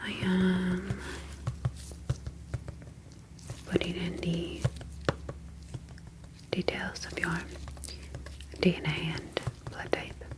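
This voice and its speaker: A woman voicing a calm, clinical ocean alien scientist in an ASMR sci-fi roleplay, whispering breathily with formal, measured phrasing. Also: fairly low pitch, low-quality recording. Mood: soothing, clinical.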